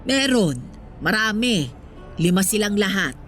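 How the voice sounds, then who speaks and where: A woman's voice: mid-range voice, expressive, measured pace, a bit bright, soft background music. An actress playing a proud, talkative sixty-year-old Filipino street vendor with a motherly, exclamatory manner in a radio drama.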